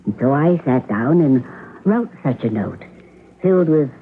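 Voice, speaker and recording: An actress playing an aging, poised and sardonic stage star under suspicion in a radio drama, speaking in a theatrical, measured, ladylike manner. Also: extremely low pitch, expressive, heavily acted. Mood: sorrowful, confessing. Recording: noisy, narrow-band vintage radio drama recording.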